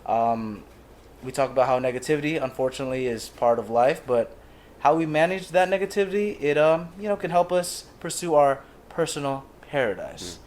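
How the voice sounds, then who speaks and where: A man's voice: on the high side, animated, normal speed, a little mellow, a little hiss or hum. A young man hosting a podcast in a casual, jokey way, often calling his guest "bro". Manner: laid-back, jokey, calls guest "bro". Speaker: podcast host. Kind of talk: casual chat.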